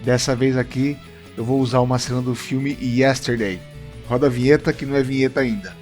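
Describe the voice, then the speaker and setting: A man's voice: mid-range voice, steady tone, fairly quick, a little mellow, faint music behind. A man from Brazil, an English teacher, giving a casual video lesson in a friendly, chatty way and speaking straight to his viewers.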